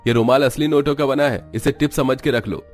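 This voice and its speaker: A man's voice: medium pitch, fairly quick, faint music behind, pitched above the performer's own voice, lightly acted. A man voicing a proud, blunt but devoted rich young man in a cartoon story. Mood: haughty.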